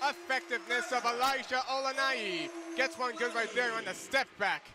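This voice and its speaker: A man's voice: very high-pitched, steady tone, normal speed. A man giving color commentary on a basketball game. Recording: loud arena noise behind. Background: basketball game in an arena.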